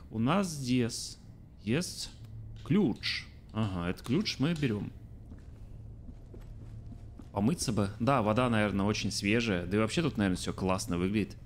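A man's voice: on the low side, expressive, measured pace, long pauses, slight background noise. A man in his thirties streaming a game from a small room, chatting casually, thinking aloud and answering his chat. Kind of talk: casual let's-play commentary. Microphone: studio mic on arm by face.

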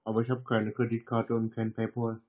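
A man in a casual phone conversation as a customer, giving short, deadpan answers over a phone line. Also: medium pitch, a little fast. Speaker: prank caller posing as customer. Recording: tinny narrowband phone line.

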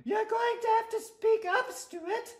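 A man's voice: very high voice, steady tone, takes it slowly, pitched above the performer's own voice. A man voicing a polite, prim woman schoolteacher in a children's storybook. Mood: prim, questioning. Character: polite, prim female geography teacher.

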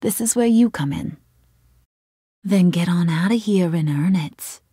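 Video game villainess, young gang boss, slow drawn-out delivery, swooping pitch, slangy taunts, playful menace. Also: female voice, expressive, warm tone, breathy edge, no echo, studio quality. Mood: scheming. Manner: taunting, slangy, theatrical, swinging from sweet to threatening.

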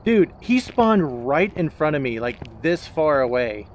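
A man's voice: fairly high pitch, very animated, measured pace, noisy background. A man playing a co-op horror game, chatting casually and animatedly, with exclamations and some swearing. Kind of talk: casual gameplay chat. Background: game ambience and noises.

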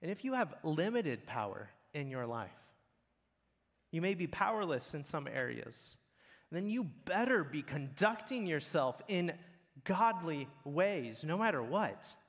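A man's voice: on the high side, very animated, measured pace. A man preaching a sermon in an earnest, blunt way that builds in intensity. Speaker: pastor. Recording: long hall reverb.

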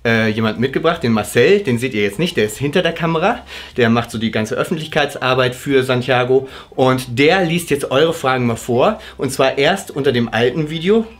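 Chatty German man hosting a Q&A. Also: mid-range voice. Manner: chatty, laughs often. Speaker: host. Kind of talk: casual living-room Q&A. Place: living room, indoors.

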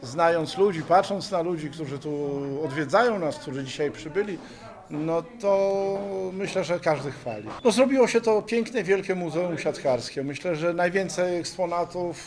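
A man's voice: a little high, normal speed. A man speaking informally in an interview, with an enthusiastic, emphatic manner. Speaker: volleyball museum founder being interviewed.

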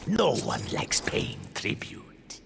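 A man voicing a mercenary, scheming villain in a video game, with a croaking delivery. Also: slow, music in the background, lightly acted. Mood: cold. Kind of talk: video game combat intro dialogue. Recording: echoing hall, noise behind.

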